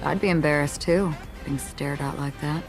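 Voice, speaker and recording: A woman playing an enigmatic, calm swordswoman in a game, with a cool, formal and understated delivery. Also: low voice, normal speed, over music. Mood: cool, curious. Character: enigmatic adult female swordswoman, calm, aloof, solemn. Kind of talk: anime-style game voice acting.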